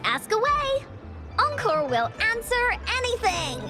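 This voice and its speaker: A bubbly little girl, speaks in a very high, extremely animated voice. She is an eager adventurer from an anime video game, childlike and peppy. Music plays clearly behind her. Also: measured pace, heavily acted. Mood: bubbly, friendly. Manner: childlike, peppy, refers to herself by name.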